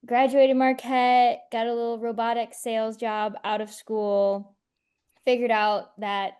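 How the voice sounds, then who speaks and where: A woman's voice: on the high side, flat delivery, a little slow, a little mellow, smooth. A woman in her twenties from near Chicago, a guest author telling her story in a chatty, filler-heavy way on a podcast. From northwest Indiana, near Chicago. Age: 20s. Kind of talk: casual podcast interview.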